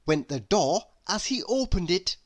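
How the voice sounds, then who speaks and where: Animated, slow-paced children's storybook reader. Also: mid-range voice. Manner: animated, expressive, with emphatic sound words. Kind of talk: read-aloud children's story narration.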